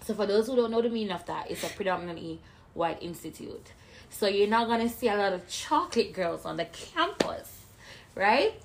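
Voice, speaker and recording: Woman, twenties, casual podcast chat, mid pitch, faint hiss behind. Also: brisk, vocal fry, poor audio. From Jamaica. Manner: animated storyteller, playful. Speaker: podcast co-host.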